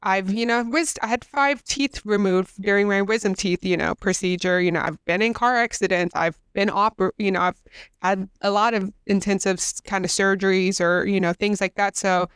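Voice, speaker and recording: A young woman co-host chats loosely, with fillers and quick restarts, her nose congested. Her voice comes through very clear, and the recording is dry with no room sound. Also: medium pitch, fairly bright tone, no echo. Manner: chatty, many fillers and self-corrections. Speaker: podcast co-host. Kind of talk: casual chat.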